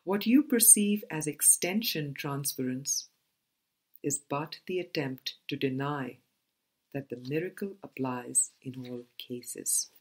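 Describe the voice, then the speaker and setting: A woman reading a spiritual book aloud as scripted narration, in a measured, deliberate manner with pauses between phrases. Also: a bass voice, a little mellow, slightly rough. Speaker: audiobook reader.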